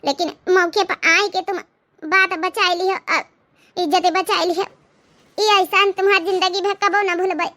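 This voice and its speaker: Village mother for a cartoon woman performer: Awadhi, very high and sharp, moralising, worried, traditional. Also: fairly even intonation, normal speed. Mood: grateful, apologetic. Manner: scolding, moralising. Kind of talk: cartoon comedy voice acting.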